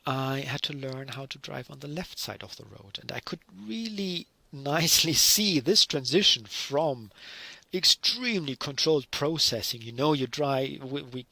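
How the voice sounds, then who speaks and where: A man's voice: mid-range voice, a little slow, creaky, sharp tone, dry recording. A middle-aged man from Germany with a German accent, giving a recorded university lecture and explaining with many fillers and self-corrections.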